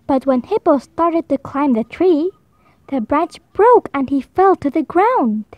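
A woman reading a children's picture book aloud, slowly and expressively, with higher voices for the animals. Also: no echo, dull audio. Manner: slow, expressive, high voices for animal lines. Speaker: storybook reader. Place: indoors at a table, book held to camera.

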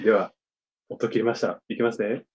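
A man's voice: lowish voice, fairly even intonation, fairly quick, darkish tone, dull audio, faint music behind. A man on a video call, casually chatting as he runs the sound for an online comedy show. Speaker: show member running the stream's sound. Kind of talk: casual technical chat.

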